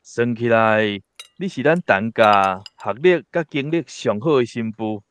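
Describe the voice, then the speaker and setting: An actor playing a genial, respected old great-uncle in a radio drama, with a Taiwanese accent and a hearty, easygoing manner. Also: male voice, medium pitch, animated, unhurried, fairly bright tone, clear, resonant tone. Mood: welcoming, impressed. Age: older.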